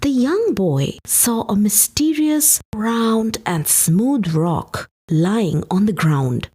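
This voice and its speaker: A woman narrating a folktale for a podcast, with expressive, dramatic and deliberate phrasing. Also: medium pitch, slightly rough. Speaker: podcast storyteller. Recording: music behind the voice. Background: background music.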